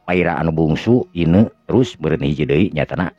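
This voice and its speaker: A man with a Sundanese accent and a gravelly, husky voice, narrating a tale in the manner of a radio storyteller. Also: a bass voice, lively intonation, moderate pace, dry recording. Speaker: storyteller and channel host. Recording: dull lo-fi recording with music. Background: music.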